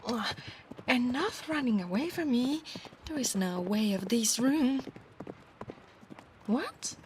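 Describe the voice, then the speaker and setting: A woman's voice: fairly high pitch, lively intonation, takes it slowly, a little hiss or hum. A woman with an Italian accent voicing a proud, bossy female centaur knight in an ASMR roleplay, with formal, old-fashioned, commanding phrasing. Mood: commanding, impatient. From Italy.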